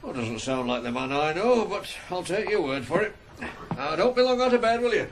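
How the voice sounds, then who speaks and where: A man's voice: high voice, big pitch swings, brisk. A man acting a shrewd, hearty older farmer in a radio drama. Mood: gruff, friendly. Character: blustery older farmer, the ploughboy's shrewd boss. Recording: noisy old radio broadcast.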